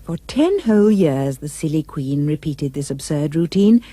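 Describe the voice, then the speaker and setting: A woman's voice: low voice, lively intonation, normal speed, warm tone, slightly rough, dry recording. A woman narrating a rhyming storybook tale for a puppet show with dramatic, emphatic delivery.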